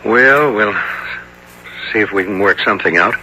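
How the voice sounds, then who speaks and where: Bright, forceful, folksy builder dad. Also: male voice, lowish voice, moderate pace, muffled recording. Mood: gentle, hesitant. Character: plain, kindly builder father of a teenage boy. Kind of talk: 1970s radio drama acting. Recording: old radio broadcast, faint noise.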